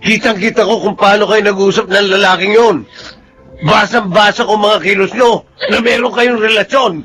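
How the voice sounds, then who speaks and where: Domineering, high-pitched older rich suitor. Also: male voice, fairly even intonation, moderate pace, a bit bright, soft background music. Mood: jealous rage. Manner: domineering, blunt, threatening. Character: rich, older, possessive male bank executive, menacing suitor. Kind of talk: Filipino radio drama acting.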